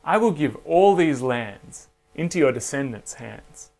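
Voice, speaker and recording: A man voicing God as a solemn, commanding deity in a children's Bible story, speaking in measured, authoritative phrases. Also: on the high side, expressive, creaky, a little mellow.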